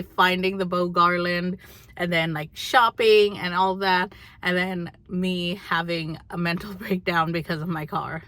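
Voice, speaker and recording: Young female vlogger, slightly low-pitched, candid and chatty, loosely rambling delivery. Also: a bit bright. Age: young adult. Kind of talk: casual chatty vlog.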